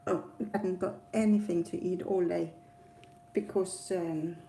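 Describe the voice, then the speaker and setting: A woman with a Danish accent chats casually about her own experiences at a slow, halting pace. Her voice is soft-edged and mellow, and she rambles with frequent pauses. There is a faint noise behind her. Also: lowish voice, fairly even intonation, vocal fry. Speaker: travel vlogger. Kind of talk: casual personal storytelling to camera.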